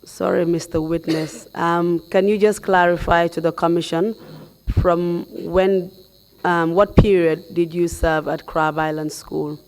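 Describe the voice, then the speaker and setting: A young woman, her voice hoarse, questions a witness as counsel in a formal hearing. Her delivery is measured and polite, with very little rise and fall in pitch. She speaks into a headset mic in a studio. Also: steady tone, darkish tone, slight background noise, low-quality recording. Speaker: commission counsel.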